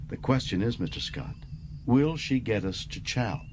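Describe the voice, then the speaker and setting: A man's voice: mid-range voice, expressive, normal speed, background noise, voice pushed up, close to an everyday voice. A man playing a confident, commanding older starship captain in a science-fiction audiobook. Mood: surprised, warm.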